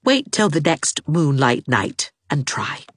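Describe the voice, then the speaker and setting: A woman's voice: deep voice, big pitch swings, breathy edge, no room sound, exaggerated voice. A woman voicing a practical young woman who answers hysterics with dry, unpitying wit. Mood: dry, brisk. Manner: dry, brisk, gently sardonic.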